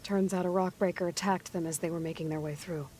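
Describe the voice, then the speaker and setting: An actress playing a level-headed young heroine in a video game, dry and matter-of-fact. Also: female voice, fairly low pitch, steady tone, fast, mellow, slight background noise, close to an everyday voice. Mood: serious, measured. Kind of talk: video game cutscene acting.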